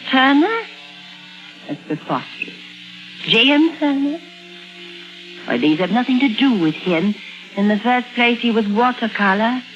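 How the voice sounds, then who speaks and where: Fragile haunted woman, expressive, vintage radio. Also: on the high side, a little slow, pauses often. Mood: indignant. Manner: dramatic first-person storytelling, shifting from wistful to insistent. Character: fragile, haunted woman named Rachel, guarded and dreamy. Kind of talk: 1950s suspense radio drama acting. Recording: dull vintage radio recording with music.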